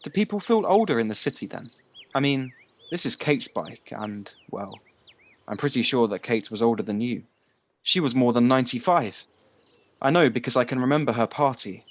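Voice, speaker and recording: An actor playing a chatty, guileless young village lad in an audio play, talking in a candid, rambling way. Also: male voice, medium pitch, animated, normal speed, natural voice. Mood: chatty, earnest.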